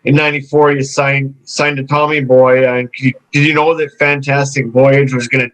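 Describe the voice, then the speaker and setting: A man with a Canadian accent, a guest host asking interview questions in a measured, slightly halting way. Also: medium pitch, little pitch movement, fairly bright tone, rough recording.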